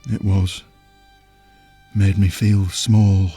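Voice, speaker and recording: Slow, deep-voiced gentle simple giant. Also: male voice, flat delivery, breathy edge, faint music behind, full character voice. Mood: hurt, earnest. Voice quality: rustic folksy speech. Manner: halting, plain-spoken, earnest. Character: huge simple gentle potion-brewer man, childlike, wounded. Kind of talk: audio drama character acting.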